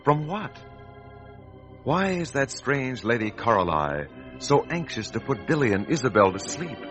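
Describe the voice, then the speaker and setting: A man's voice: medium pitch, sing-song, a little slow, music in the background. A man announcing and narrating in a scripted, emphatic 1940s children's radio style. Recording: old radio broadcast recording.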